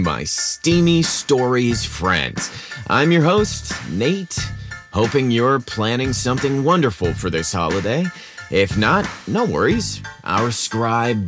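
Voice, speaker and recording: A man hosting a podcast and narrating a scripted story in a teasing, suggestive style. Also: lowish voice.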